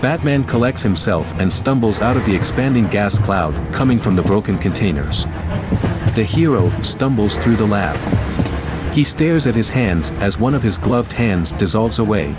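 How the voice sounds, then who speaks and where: A man narrates an audio drama over clearly audible music. His voice is on the low side and animated, with a dramatic scripted delivery. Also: moderate pace.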